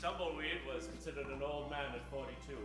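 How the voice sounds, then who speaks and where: A man's voice: on the high side, fairly quick, music in the background. A man narrating a tongue-in-cheek old-time western radio show in a theatrical storytelling way. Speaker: narrator of a live improvised radio comedy. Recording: roomy live stage sound.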